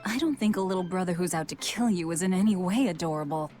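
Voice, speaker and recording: A woman's voice: on the low side, fast, a little mellow, soft background music, natural voice. An actress playing a tough, guarded young woman in a video game, blunt and commanding with a dry manner. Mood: dry, wistful.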